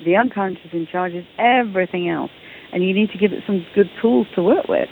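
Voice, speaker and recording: A woman's voice: medium pitch, brisk, vocal fry. English woman, phone-line sound, faint hiss, eager guest, stumbles on openings. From England. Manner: enthusiastic, repeats words when starting sentences. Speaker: author and guest. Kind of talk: casual interview talk.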